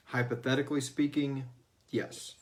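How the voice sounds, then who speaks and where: A man's voice: mid-range voice, level tone, moderate pace. Guy co-hosting a casual q&a, normal mid voice, jokey, swears a bit. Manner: informal, profane, jokey. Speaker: host of a fan Q&A show. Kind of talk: casual chat answering listener questions.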